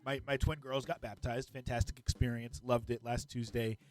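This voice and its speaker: A man's voice: medium pitch, flat delivery, moderate pace, faint music behind. A man co-hosting a gaming podcast, a comedian telling stories in an enthusiastic, joking way. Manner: enthusiastic storyteller, upbeat and joking. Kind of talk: casual podcast chat.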